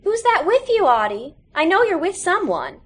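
A woman's voice: very high-pitched, very animated, normal speed, pitched above the performer's own voice. A woman voicing a folksy, hospitable mother character while reading a story. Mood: wary, calling out. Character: homebound blind mother, folksy, hospitable, affectionate.

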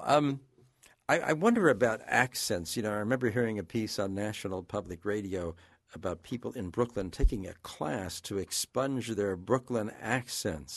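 A man hosting a radio call-in show, asking long measured questions. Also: lowish voice, no echo, studio quality. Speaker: radio talk show host.

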